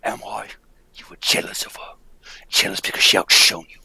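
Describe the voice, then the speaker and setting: Anguished circus clown, gravelly and hushed, halting, intense, melodramatic radio acting. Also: male voice, deep voice, flat delivery, whispered, full character voice. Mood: accusing. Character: anguished, accusing male circus clown in love. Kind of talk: radio play table read.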